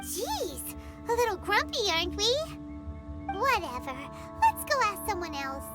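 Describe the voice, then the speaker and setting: Teeny fairy sidekick, super high and bouncy, bossy, loud game music behind. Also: female voice, very animated, unhurried, exaggerated voice. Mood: miffed. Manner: squeaky, chattery, talks about herself in third person. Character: tiny floating fairy companion girl, excitable, bossy. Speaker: travel companion. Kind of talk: video game voice acting.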